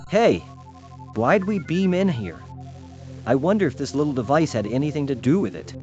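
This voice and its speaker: Man playing an animated, eager young-sounding space hero. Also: a little high, normal speed. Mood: puzzled, eager. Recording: dull lo-fi game audio, faint music.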